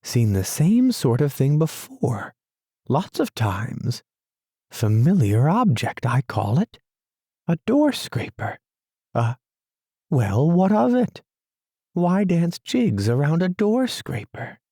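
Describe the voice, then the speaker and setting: A man's voice: a little high, sing-song, takes it slowly, mellow, dry recording, clean studio sound, pitched above the performer's own voice, heavily acted. A man voicing a fussy, literal-minded male mole in a storybook reading, with a prim, pettish manner. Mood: pedantic.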